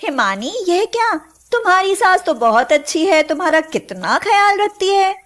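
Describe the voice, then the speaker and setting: Sympathetic young girlfriend role in a cartoon, played by a woman: high, friendly, chatty. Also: very animated, normal speed, fairly bright tone, full character voice. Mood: surprised, friendly. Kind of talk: cartoon moral-story voice acting.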